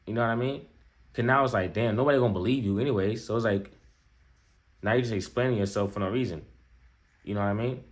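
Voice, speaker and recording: A man's voice: on the low side, fast, long pauses. An American man giving off-the-cuff reaction commentary in a casual, slangy way, often repeating himself and checking in with the listener. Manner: slangy, repetitive, conversational asides to viewer. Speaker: reaction video host.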